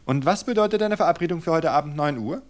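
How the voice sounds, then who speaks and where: A man's voice: on the high side, animated, fast talker, voice pushed up, close to an everyday voice. A man reading aloud and voicing a young wife who teases her husband in a lifted voice. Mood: teasing. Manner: teasing, raised voice. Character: young wife, teasing and anxious woman.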